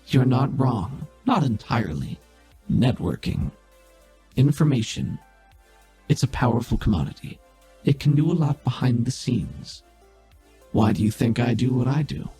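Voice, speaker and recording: A man voicing a cool, calculating female AI hologram who deals in information, speaking in a dry, measured way. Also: medium pitch, lively intonation, dark tone, faint music behind, lightly acted. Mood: probing.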